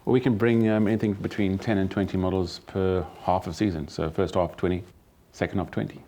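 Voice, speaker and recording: A man who runs a model agency, speaking in a brisk, businesslike way in a documentary interview. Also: deep voice, little pitch movement, warm tone.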